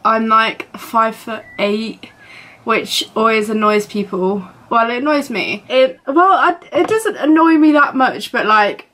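Young woman vlogger, twenties, casual bedroom chat to a close camera, rambling and giggly, slow and a touch high. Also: lively intonation, a bit bright. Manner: chatty, giggly, rambling with many fillers. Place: small bedroom indoors.

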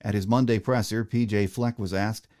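A man's voice: on the low side, fairly even intonation, moderate pace, darkish tone. A man hosting a sports podcast, delivering scripted commentary as narration. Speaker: podcast host.

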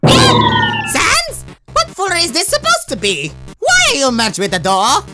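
A performer voicing an excitable, naive skeleton brother in a cartoon comic dub, theatrical and exclamatory. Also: extremely high pitch, slow pace, full character voice. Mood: bewildered. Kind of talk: comic dub character acting. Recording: loud background music.

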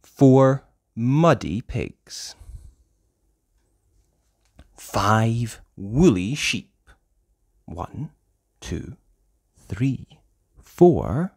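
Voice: mid-range voice, wildly swooping pitch, very slow, long pauses. A host reading a counting picture book aloud to young children in a sing-song, exaggerated way.

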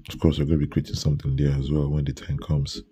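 Deep-voiced Nigerian man giving a site tour. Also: fast, husky. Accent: Nigerian English. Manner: relaxed, filler-heavy, pointing things out. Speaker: renovation contractor. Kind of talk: walkthrough narration of a building site.

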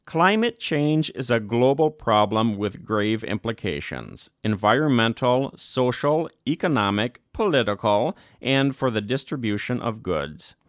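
A man reading a formal document aloud as scripted narration, in a measured, clearly phrased manner. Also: mid-range voice, sing-song, no echo, clean studio sound. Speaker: reader.

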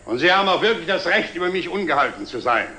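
An actor playing a genial, self-assured middle-aged company director in a 1960s German TV drama, speaking in measured, formal phrases. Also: male voice, on the high side, very animated, sharp tone, slight background noise. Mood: formal, apologetic. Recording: old 1960s TV soundtrack, music behind.

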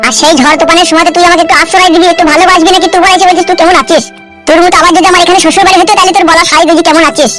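Man doing a very shrill, whining cartoon villager. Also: steady tone, racing. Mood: aggrieved. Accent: rural West Bengal. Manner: whining, ranting, repetitive. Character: homeless, self-pitying, foul-mouthed village man. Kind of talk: comedy cartoon dialogue. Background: music.

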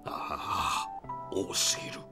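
An actor playing a sentimental, weary old grandfather in a Japanese audio drama, grave and dramatic, with a gravelly voice. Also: takes it slowly, close to an everyday voice. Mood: solemn, mournful. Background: music.

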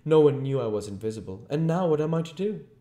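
A man voicing an irritable, menacing invisible man in an audiobook, sharp and commanding. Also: mid-range voice, lively intonation, normal speed, pitched above the performer's own voice, natural voice. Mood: angry, agitated. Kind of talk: audiobook character voice. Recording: echoey room.

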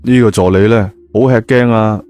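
Low, mellow male Cantonese storyteller. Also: fairly even intonation, normal speed. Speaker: audio drama narrator, also voicing the male lead. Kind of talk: lively colloquial storytelling narration. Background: background music.

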